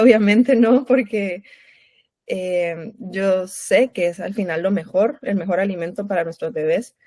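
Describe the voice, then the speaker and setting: A woman's voice: mid-range voice, a little mellow, dry recording. A woman in her thirties with a Guatemalan Spanish accent speaks as an interview guest, a lactation consultant sharing her own story. Her mid-range voice sounds close and dry. She chats personally and keeps checking in with little tag questions. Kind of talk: conversational interview.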